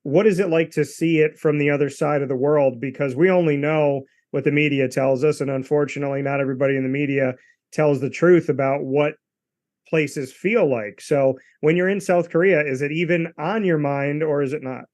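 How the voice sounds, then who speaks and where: A man's voice: a little high, fairly even intonation, fairly quick, a little mellow. A man hosting a sports talk show, asking a guest long, winding questions and restarting his sentences. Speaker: podcast host, interviewer.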